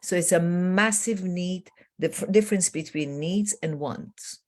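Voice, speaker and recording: Emphatic woman in her sixties, lower-pitched. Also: unhurried. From Iran. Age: 60s. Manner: emphatic, declarative, repeats key phrases. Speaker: transformation coach and guest. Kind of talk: personal storytelling in a conversation.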